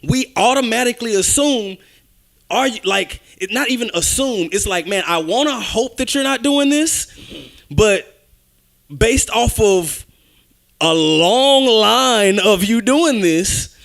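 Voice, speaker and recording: A young man with a notably high voice argues his point with energy. He is emphatic and animated, and jumps in over the others in a casual podcast debate. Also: takes it slowly, gravelly. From United States. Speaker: podcast co-host.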